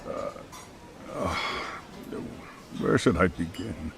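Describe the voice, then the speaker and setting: An actor playing a cautious, burdened middle-aged school chairman in a video game dub, speaking in a measured, polite and hesitant way. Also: deep voice, lively intonation, gravelly, noisy background, full character voice. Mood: uneasy, hesitant.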